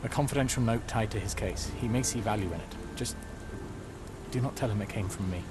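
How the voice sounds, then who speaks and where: An actor playing an aloof, authoritative Victorian gentleman in a video game, British, with clipped, formal, commanding speech. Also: lowish voice, brisk, lightly acted. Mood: cool, guarded. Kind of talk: video game cutscene acting. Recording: clear background ambient noise.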